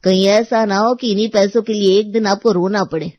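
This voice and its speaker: A woman's voice: medium pitch, fairly even intonation, fairly quick, no room sound, muffled recording, natural voice. A woman voicing a humble, aggrieved male vegetable seller in a story, with a plain-spoken hawker's manner.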